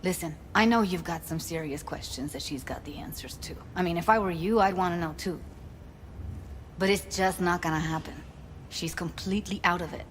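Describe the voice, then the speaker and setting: A woman's voice: lowish voice, brisk, natural voice. An actress voicing a jaded, frank, protective young woman tech expert in a video game, with some noise behind her. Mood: weary. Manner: frank, weary, informal.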